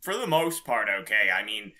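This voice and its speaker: A man co-hosting a podcast in casual gaming chat, quick to laugh as he talks. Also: lowish voice, big pitch swings, sharp tone. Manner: laughs readily while talking. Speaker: podcast co-host.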